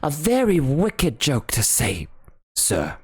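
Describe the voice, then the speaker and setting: A man doing a high, fluttery anxious butler. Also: sing-song, takes it slowly, darkish tone. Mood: deferential. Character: fussy male butler, anxious and deferential. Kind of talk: audiobook character voice.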